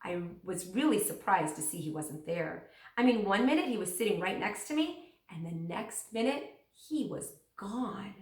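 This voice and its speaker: A woman's voice: mid-range voice, animated, moderate pace. A woman reads a children's book aloud like a teacher, telling the story expressively and acting out the dialogue. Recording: roomy echo, distant microphone.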